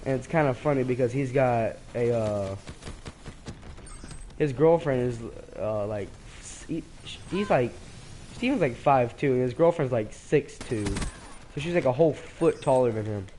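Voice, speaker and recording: A teenage boy chats loosely over his game, his voice mellow and stuffed up from a cold. He wanders from point to point and restarts his sentences often, with a faint hum behind him. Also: mid-range voice, expressive, a little slow. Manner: rambling, hesitant, with many restarts. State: sick, congested. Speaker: streamer. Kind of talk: casual gameplay commentary.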